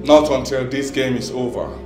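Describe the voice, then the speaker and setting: An actor playing a mocking, unseen male tormentor, who delights in his cruel game and taunts slowly. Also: medium pitch, little pitch movement, lightly acted. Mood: taunting. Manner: slow, taunting. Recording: echoey with music behind.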